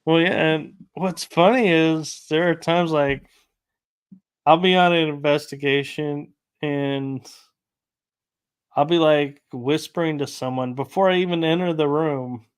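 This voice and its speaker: Guy host w a smooth clear voice, slow easygoing chat, rambling stories. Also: fairly high pitch, fairly even intonation. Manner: rambling anecdotes with light laughs. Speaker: podcast host. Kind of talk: casual interview chat.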